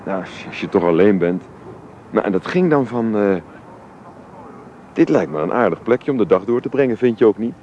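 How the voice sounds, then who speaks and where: Over light background noise, a man acts out a middle-aged father in a radio play. His voice is fairly low, wistful and affectionate. He hesitates and repeats words as he reaches for what he means. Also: expressive, normal speed, pauses often, darkish tone, dull audio, lightly acted. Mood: wistful, reflective. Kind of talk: naturalistic radio drama acting. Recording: noisy radio play recording.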